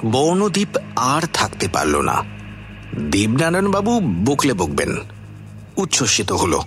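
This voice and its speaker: Suspense narrator: unhurried, theatrical, very lively intonation, light music bed. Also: male voice, mid-range voice. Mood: measured, suspenseful. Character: storyteller narrating a suspense tale, slipping into characters. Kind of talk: scripted dramatic narration.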